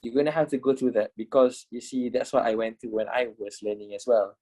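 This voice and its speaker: A man's voice: medium pitch, steady tone, a little fast, warm tone, rough recording. A man in his twenties teaching a casual trading class, swearing, repeating himself and asking "you know?" a lot. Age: 20s. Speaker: trading instructor. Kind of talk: casual online class wrap-up.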